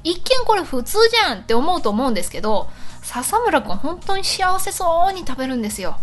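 A woman's voice: sits high, very animated, brisk, faint music behind. A woman co-hosting an internet radio show in casual chat, with teasing, polite but informal banter.

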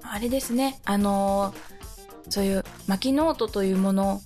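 A woman's voice: mid-range voice, a little slow, darkish tone, soft background music. A woman guest talking casually and conversationally on a radio show.

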